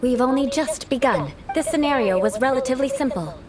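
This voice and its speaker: A woman's voice: sits high, expressive, a little fast, darkish tone, distant mic, slight background noise. An actress playing a poised, haughty young noblewoman in a video game, speaking formally and with composure. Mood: cool, teasing. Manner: formal, composed, lofty diction.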